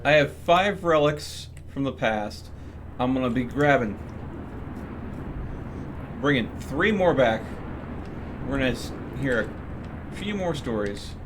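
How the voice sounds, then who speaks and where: A man's voice: mid-range voice, animated, slow pace, long pauses. A man talking casually as he streams a game, commenting on his play and explaining things step by step in a rambling way. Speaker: live streamer. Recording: clear background noise.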